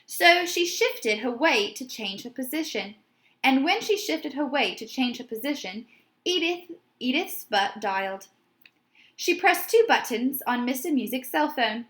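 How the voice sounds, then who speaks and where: A woman narrates a children's story in a high voice. Her reading is very animated and expressive, and she pitches questions to young listeners like a teacher. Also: a little slow.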